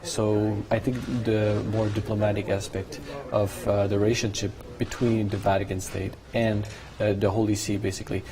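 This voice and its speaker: A man's voice: lowish voice, level tone, moderate pace, hardly any pauses, crowd noise, low-quality recording. A French Canadian man, a native French speaker, giving conversational live studio analysis and searching for his words. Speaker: studio commentator, French-language coverage host. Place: broadcast studio. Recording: clear background noise.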